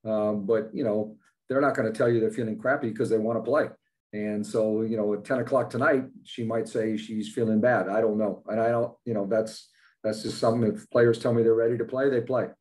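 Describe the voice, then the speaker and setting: Older male coach, matter-of-fact, low mellow tone, webcam press conference. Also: fairly even intonation, brisk. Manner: plain-spoken, many fillers, matter-of-fact. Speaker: basketball head coach. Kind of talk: postgame press conference answers. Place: indoors in front of a logo backdrop. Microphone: video call, webcam at desk.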